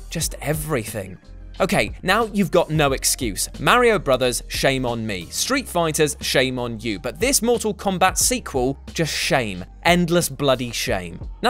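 A British man presents a scripted countdown with a lively, swinging pitch. His delivery is sarcastic and exaggerated, leaning hard on key words for emphasis. Light music plays behind him. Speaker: video list presenter. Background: background music.

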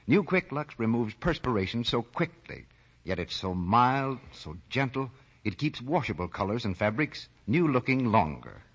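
A man's voice: medium pitch, sing-song, normal speed. A man reading scripted announcements and commercials as a 1940s radio announcer. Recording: muffled, rough 1940 radio recording.

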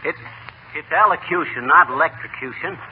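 On a tinny, noisy 1940 radio comedy recording, a man plays a blustering small-town American husband. He is pompous and folksy, with a high, animated voice full of boasts. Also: moderate pace, natural voice. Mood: self-important. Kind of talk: 1940s radio comedy acting.

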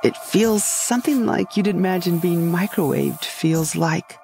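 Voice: medium pitch, unhurried, vocal fry. A narrator telling a first-person sci-fi comedy story in a florid, dramatic, scripted storytelling style. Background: music behind the voice.

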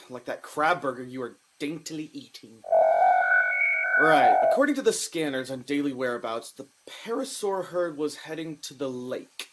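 A woman's voice: deep voice, lively intonation, measured pace, background noise. A woman playing a capable, sarcastic dinosaur park keeper in an audio drama. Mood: sardonic.

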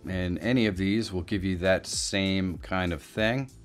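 A middle-aged man hosting a casual software tutorial. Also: low voice, unhurried, soft background music. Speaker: tutorial host. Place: small indoor home studio.

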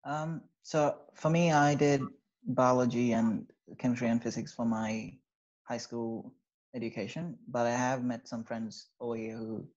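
A young man from Sri Lanka, an undergraduate student, answering interview questions casually with many fillers over a video call from a small bedroom. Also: mid-range voice. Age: young adult. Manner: relaxed, hesitant, many fillers. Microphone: video call with wired earphones. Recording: slightly dull video-call audio.

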